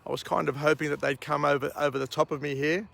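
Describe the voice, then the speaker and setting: A middle-aged Australian man with a croaky voice after a night out, hosting a rambling outdoor morning vlog and book summary at a vineyard. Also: a little high, steady tone, a bit bright. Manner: slow, rambling, frequent uh and um. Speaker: vlog host.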